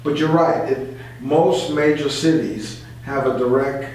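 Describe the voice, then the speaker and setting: A man's voice: medium pitch, a little slow, darkish tone. A man speaking as a community advocate guest in an informal radio discussion, explaining and repeating phrases to stress his points. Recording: very echoey room, distant mic, faint noise.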